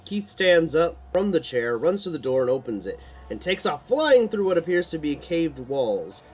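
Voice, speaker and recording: Man reading his story with very animated pitch. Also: moderate pace, breathy edge. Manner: stumbles and re-reads words. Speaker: author reading own book. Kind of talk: scripted narration, audiobook-style reading.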